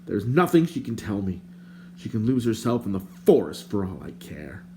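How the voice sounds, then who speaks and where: A man's voice: lowish voice, very animated, moderate pace, mellow, a little hiss or hum. A man voicing a proud, hot-tempered young male hero in an audiobook, curt and commanding. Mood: bitter.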